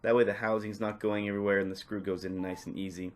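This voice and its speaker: A man narrating a step-by-step tutorial off the cuff, in a casual, instructional way with softened phrasing. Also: fairly low pitch, flat delivery, fast talker. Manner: casual, instructional, hedging with "kinda" and "a little bit". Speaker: repair tutorial presenter.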